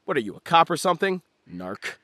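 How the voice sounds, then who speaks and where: A man playing a prickly, pretentious teenage boy film director in an animated comedy, sarcastic and theatrical in his delivery. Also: a little high, brisk, no room sound. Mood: snarky. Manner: pompous, sarcastic, melodramatic.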